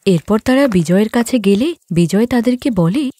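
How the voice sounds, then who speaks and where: High-voiced woman storyteller, dry recording. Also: animated, normal speed, dark tone, no room sound. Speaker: storyteller, narrator. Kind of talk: scripted narration of a moral story.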